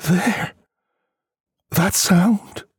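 A man voicing a weary, frightened, devout wife and mother in a story, plaintive and insistent, with a breathy voice. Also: sits high, very slow, no room sound, studio quality, voice pushed up, exaggerated voice. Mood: hushed, afraid.

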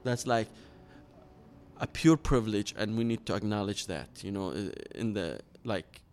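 A man's voice: on the low side, expressive, moderate pace, darkish tone. Earnest man telling his story. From Iraq. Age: 30s. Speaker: interview guest. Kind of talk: personal storytelling in an interview.

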